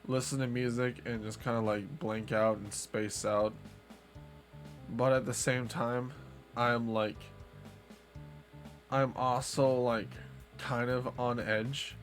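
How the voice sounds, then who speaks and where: Young male streamer, mid-pitched, rambly and relaxed, faint music underneath. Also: slow, pauses often. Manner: laid-back rambling with many "like" fillers. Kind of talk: casual live-stream chat.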